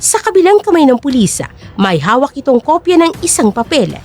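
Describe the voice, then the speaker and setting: A woman's voice: sits high, sing-song, moderate pace, a little mellow. A woman narrating a dramatic scripted story in Tagalog.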